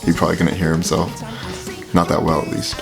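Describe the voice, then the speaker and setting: A man with a very deep voice giving casual, filler-heavy commentary while reacting to a song. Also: completely flat delivery, a little fast, gravelly. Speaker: reaction video host. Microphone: headphones with mic. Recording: music playing under the voice. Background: music playing.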